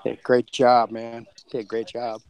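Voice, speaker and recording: A man's voice: mid-range voice, monotone, a little slow, a little mellow. A man, a podcast co-creator, telling anecdotes in a casual, conversational way.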